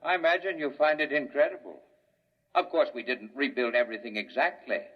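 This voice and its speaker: A man playing a calm, menacing male scientist villain in a 1950s radio drama, old dull recording. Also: mid-range voice, fairly quick, husky, lightly acted. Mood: condescending.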